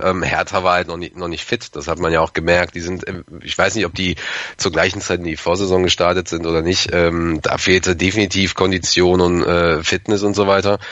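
A man with a hoarse voice, chatting casually as a podcast guest and rambling with many fillers. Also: deep voice, a bit bright, no room sound, lo-fi sound. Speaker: podcast guest, fan club member. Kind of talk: casual chat.

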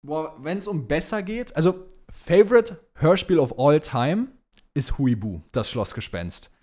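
A man's voice: fairly high pitch, lively intonation, measured pace, a little mellow. Young man in casual podcast chat. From German, partly raised in Dubai. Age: young adult. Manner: youth slang, emphatic opinions. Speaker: podcast co-host.

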